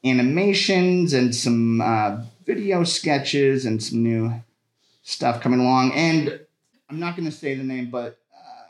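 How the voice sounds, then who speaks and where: A man talks casually as a guest in an echoey room. His delivery is halting and joking, with a mid-range voice. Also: medium pitch. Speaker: podcast guest, comedian. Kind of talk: casual chat.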